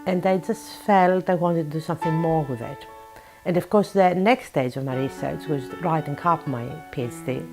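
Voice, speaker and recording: A woman's voice: deep voice, moderate pace, music in the background, poor audio. A woman in her forties from Greece, an author and psychotherapist, answering interview questions in a reflective way with frequent ums. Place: her office.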